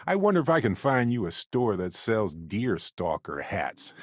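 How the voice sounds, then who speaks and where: An actor playing an affable, witty, talkative space explorer in a video game, with an expressive, wry, folksy way of speaking. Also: male voice, lowish voice, takes it slowly, breathy edge, no echo, studio quality, exaggerated voice. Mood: light, amused. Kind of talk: scripted video game character dialogue.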